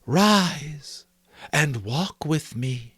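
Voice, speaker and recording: A man voicing a solemn, gentle Christmas spirit in a soft, airy, drawn-out voice for a storybook. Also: on the high side, lively intonation, husky, no echo, voice pushed up, full character voice. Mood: gently commanding. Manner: slow, drawn-out, otherworldly delivery.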